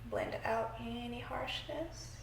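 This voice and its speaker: A young woman chats through a makeup tutorial in a small room at home, her voice stuffy from a cold. She keeps a playful, chatty tone with her viewers, and her voice has a gravelly fry. The room sounds echoey, with a faint hiss underneath. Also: a little high, takes it slowly. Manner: chatty, playful, talks to viewers while working. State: congested from a cold. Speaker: beauty vlogger. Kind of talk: casual makeup tutorial chat.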